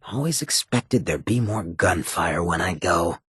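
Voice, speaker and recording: An actor playing a roguish, wry ghoul in a video game, with a raspy, gravelly voice and streetwise drawl. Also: male voice, low voice, animated, measured pace, a bit bright, no room sound, studio quality, heavily acted. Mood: wry, musing. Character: roguish, wry male ghoul mayor, chem-loving companion. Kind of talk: video game companion dialogue.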